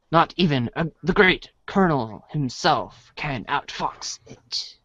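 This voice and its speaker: Male narrator, theatrical storybook read: unhurried, very varied intonation, dry lo-fi sound. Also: on the high side, a bit bright, no room sound. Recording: dry, dull lo-fi audio.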